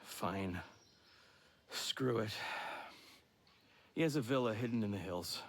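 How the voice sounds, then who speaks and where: An actor playing an earnest, loyal informant in a video game, speaking in a hesitant, pleading manner. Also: male voice, on the low side, slow pace. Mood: resigned pleading.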